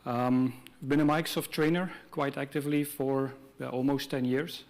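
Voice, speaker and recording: A man's voice: medium pitch, normal speed, vocal fry. A middle-aged man from Belgium presents on a conference stage through a body mic, speaking English as a second language. His delivery is easygoing and chatty, full of ums and asides to the audience, with an ordinary mid-range voice. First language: not English. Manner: conversational, relaxed, with frequent ums.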